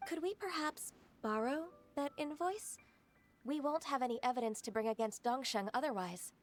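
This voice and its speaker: A woman's voice: sits high, moderate pace, a little hiss or hum, close to an everyday voice. A woman voicing a polite, earnest young government secretary in a video game, speaking in a formal, composed way. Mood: polite, businesslike. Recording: studio voice over game music.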